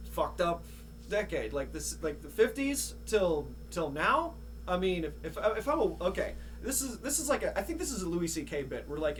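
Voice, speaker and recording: A man chatting casually as a podcast host in a rambling, laid-back, profane way. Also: fairly high pitch. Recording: clear hum and background noise.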